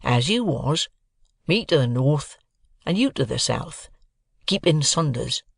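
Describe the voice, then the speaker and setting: A man voicing a slow, kindly rustic blacksmith who speaks deliberately in country dialect. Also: medium pitch, expressive, creaky, breathy edge, no echo, studio quality, exaggerated voice.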